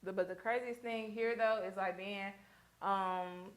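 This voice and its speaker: A woman's voice: mid-range voice, steady tone, measured pace. Chatty young woman in her twenties. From Mississippi. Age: 20s. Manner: chatty, explains her steps as she works. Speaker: makeup artist. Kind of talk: casual chat while working. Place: beauty studio.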